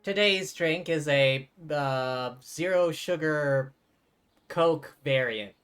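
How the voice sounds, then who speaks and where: A man live-streaming a game, chatting casually and rambling to his viewers, with music softly behind him. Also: a little high, slow pace, clean tone. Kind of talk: casual chat while playing a game. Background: faint music.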